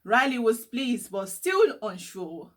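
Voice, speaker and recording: A woman reading a children's story aloud in an expressive, deliberate storytelling way. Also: on the high side, slight background noise. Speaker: storybook reader.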